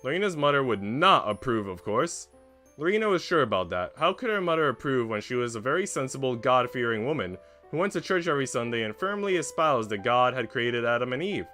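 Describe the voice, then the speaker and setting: A man's voice: mid-range voice, very animated, fairly quick, pushed and bright, soft background music. A man reading aloud as the narrator of a period romance visual novel. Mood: narrating. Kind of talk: read-aloud story narration.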